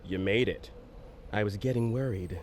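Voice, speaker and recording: A man playing a brisk, placating political aide in an audio drama. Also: lowish voice, slight background noise, natural voice.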